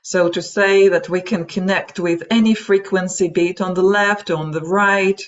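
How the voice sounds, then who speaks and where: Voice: mid-range voice, steady tone, normal speed. Dull-sounding webinar lecturer with a Russian accent. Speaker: webinar presenter. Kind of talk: explanatory lecture to an online audience.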